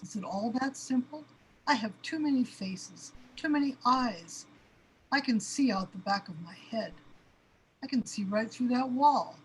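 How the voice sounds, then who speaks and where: Woman playing anguished high-voiced cosmic being. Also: expressive, unhurried, a little hiss or hum, rough recording. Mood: overwhelmed. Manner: anguished, questioning. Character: overwhelmed, panicky cosmic being trapped in its own space body. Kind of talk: dramatic radio play acting.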